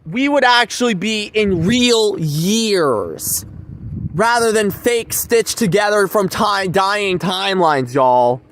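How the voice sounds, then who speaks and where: A man's voice: sits high, lively intonation, slow, a bit bright. A man giving a rambling, informal monologue to viewers, repeating his phrases for emphasis. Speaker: vlogger.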